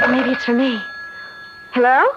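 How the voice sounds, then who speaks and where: Casting: sweet young lodger, high voice, trusting; vintage radio drama, very heavy noise. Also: female voice, flat delivery, slow. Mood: eager, flustered. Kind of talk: old-time radio drama acting. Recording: dull, very noisy old radio recording.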